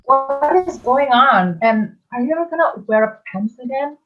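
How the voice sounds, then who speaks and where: A woman's voice: sits high, animated, moderate pace, muffled recording, soft background music. A woman playing a sceptical, bewildered young boy hero in an online table read of a stage musical. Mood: baffled.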